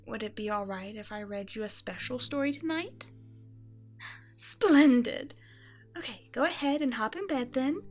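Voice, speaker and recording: A woman playing a regal evil empress and doting mother in an ASMR bedtime roleplay, speaking in a measured, tender, condescending way to a child. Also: mid-range voice, lightly acted. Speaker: audio roleplay performer. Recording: music behind the voice.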